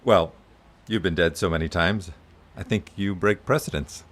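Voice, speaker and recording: An actor playing an earnest, faithful ally who speaks persuasively in an audio drama. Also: male voice, deep voice, normal speed, a bit bright. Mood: earnest, grave.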